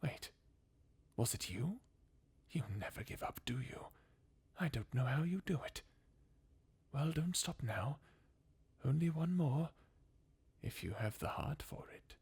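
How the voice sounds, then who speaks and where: A performer voicing a jaded, sardonic undead warrior from a fantasy video game, in a breathy, husky half-whisper with a weary, mocking manner. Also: medium pitch, animated, a little slow, long pauses, a little mellow, exaggerated voice. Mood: sardonic, resigned. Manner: sardonic, weary, chuckling bleakly. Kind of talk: fan redub of video game dialogue, ASMR-like.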